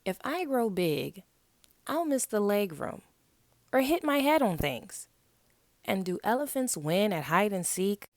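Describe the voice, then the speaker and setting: A woman voicing a stubborn, childlike little frog in a picture-book read-aloud, protesting with drawn-out emphasis. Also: medium pitch, big pitch swings, no echo.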